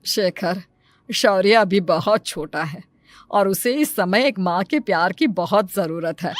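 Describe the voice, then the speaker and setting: A woman's voice: mid-range voice, animated, a little slow, lightly acted. A woman voicing an insistent older mother in a scripted cartoon story. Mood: pleading, insistent. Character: insistent older mother pressing her widowed son.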